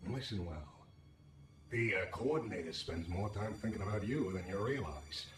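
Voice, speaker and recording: A scheming, wisecracking game anomaly, played by a man, talks in lively swoops with a cocky, sardonic bite. The voice rings in a roomy space, a little away from the mic. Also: fairly low pitch, normal speed, lightly acted. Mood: sly, conspiratorial. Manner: cocky, sardonic, folksy slang. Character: wisecracking, scheming handless anomaly, sly streetwise troublemaker. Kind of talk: video game character acting. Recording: roomy echo, slightly distant.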